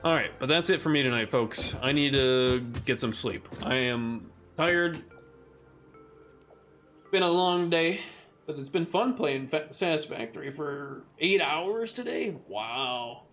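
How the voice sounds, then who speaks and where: A man streaming a game from home, chatting casually with viewers as his voice grows raspy and rough. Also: on the high side, lively intonation, unhurried, fairly bright tone. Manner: laid-back, swears casually, talks to chat. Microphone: condenser studio mic. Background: game music.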